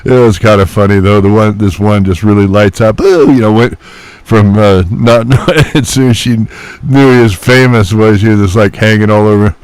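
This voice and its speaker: A man's voice: on the low side, fairly even intonation, normal speed, a little mellow, clean tone, no echo. A man in casual radio chat, telling stories animatedly with loose, mildly profane slang. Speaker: musician chatting on CB radio.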